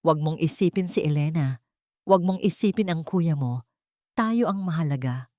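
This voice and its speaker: A woman's voice: deep voice, moderate pace, warm tone, no room sound, studio quality, natural voice. A woman voicing a scheming older matriarch in a story, imperious and commanding. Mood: secretive urging.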